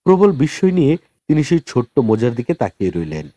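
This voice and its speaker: A man reads a novel aloud as a storyteller. His voice is soft-edged and dark in tone, with animated rises and falls in pitch. It follows a scripted narrative style. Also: fairly quick, no echo, clean studio sound. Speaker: audiobook narrator.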